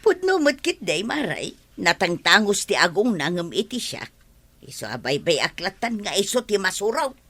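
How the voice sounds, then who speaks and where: A woman's voice: mid-range voice, very animated, normal speed, sharp tone, exaggerated voice. A woman playing an exasperated, hardworking wife in an Ilocano radio comedy drama, scolding and retorting with an Ilocano accent. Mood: gossipy.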